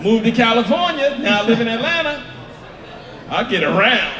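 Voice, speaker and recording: High-voiced laughing man in a hall. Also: moderate pace. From New York. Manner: laughing, animated storytelling. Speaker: panel guest. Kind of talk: convention panel Q&A. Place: large convention hall. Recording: echoey convention hall with crowd.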